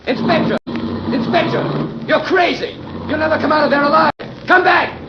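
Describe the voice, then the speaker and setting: An actor playing an earnest, determined crime-fighting investigator in the manner of 1940s radio drama. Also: male voice, extremely high pitch, normal speed, vocal fry. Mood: frantic shouting. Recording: noisy, dull old radio recording.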